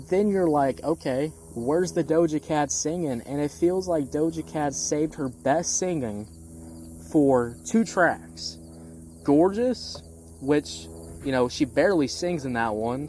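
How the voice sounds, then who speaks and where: Mellow-voiced music critic over background noise. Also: mid-range voice. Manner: repeats phrases for emphasis, exasperated, blunt; casual swearing. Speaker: music critic, album reviewer. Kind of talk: casual opinionated album review monologue.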